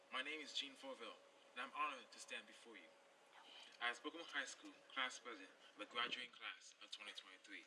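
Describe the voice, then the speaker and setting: A young man from Haiti, whose first language is Haitian Creole, giving an earnest graduation speech as class president with measured, dramatic pauses. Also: medium pitch, recorded from a distance, slight background noise, rough recording. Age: young adult. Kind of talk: prepared speech. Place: large hall at a graduation ceremony.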